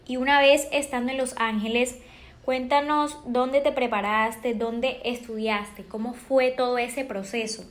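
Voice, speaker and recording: A woman hosts an interview and asks her guest friendly, measured questions. Her voice sits a little high. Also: fairly even intonation, a little hiss or hum. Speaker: interviewer host.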